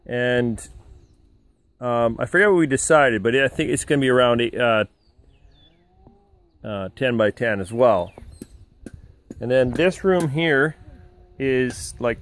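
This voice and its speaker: A man's voice: mid-range voice, slight background noise. Rambling man touring a house frame outdoors. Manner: rambling, with many fillers and restarts. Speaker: vlogger touring his house build. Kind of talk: casual walkthrough narration. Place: outdoors on an open timber house frame in the countryside.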